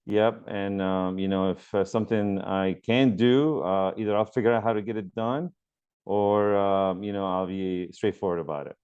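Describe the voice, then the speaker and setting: A man's voice: deep voice, fairly even intonation, moderate pace, a little mellow, clear, resonant tone, lo-fi sound. A man from Lebanon, a mortgage broker guest, answering interview questions earnestly with many ums and uhs over a remote call. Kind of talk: conversational interview.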